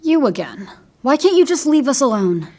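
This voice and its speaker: Defensive wife, high, animated voice. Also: female voice, normal speed. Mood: guarded, pleading. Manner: defensive, insistent. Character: guarded, defensive young mother protecting her husband. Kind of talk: audio fiction acting.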